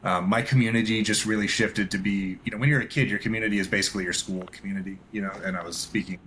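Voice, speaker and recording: A man's voice: fairly low pitch, steady tone, fast, fairly bright tone, slight background noise, poor audio. A man in his thirties, a guest talking casually and rambling with many fillers. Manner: rambling, lots of fillers and restarts. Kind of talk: casual interview chat.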